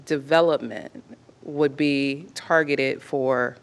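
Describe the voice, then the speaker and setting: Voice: mid-range voice, steady tone, takes it slowly, gravelly, clean tone. A planning commission member laying out a point step by step at a public meeting. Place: city council chamber.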